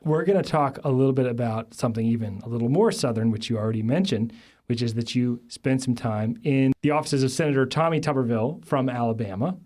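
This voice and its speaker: A man hosting an interview, asking measured, friendly questions and introducing his guest. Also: mid-range voice, a little mellow. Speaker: interviewer, podcast host.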